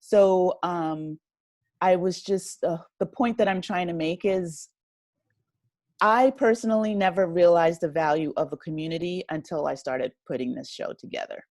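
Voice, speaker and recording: A woman speaking as a podcast guest in casual conversation, reflective and appreciative, gathering her thoughts haltingly as she goes. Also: fairly low pitch, darkish tone, dry recording, studio quality. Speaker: podcast guest, writer and audio drama creator.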